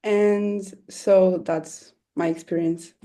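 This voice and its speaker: A woman's voice: lowish voice, steady tone, slow, mellow. A woman from Portugal, introducing herself plainly.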